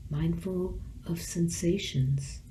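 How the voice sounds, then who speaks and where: A woman teacher leading a guided meditation, speaking gently in measured phrases with long pauses between them. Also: lowish voice, steady tone, slight background noise, low-quality recording.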